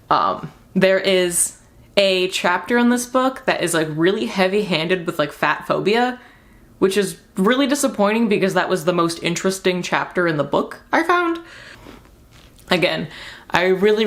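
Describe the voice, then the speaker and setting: A woman's voice: on the low side, fairly bright tone. A young woman giving a casual, rambling book review as a vlogger, speaking candidly and informally with emphatic repeated words.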